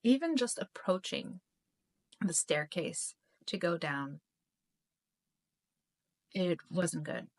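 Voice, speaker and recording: A middle-aged woman and host of her own channel, telling a personal story to camera, emotional and halting, with frequent restarts. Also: fairly low pitch, very animated. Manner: emotional, halting, many restarts and self-interruptions. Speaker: spiritual YouTube channel host.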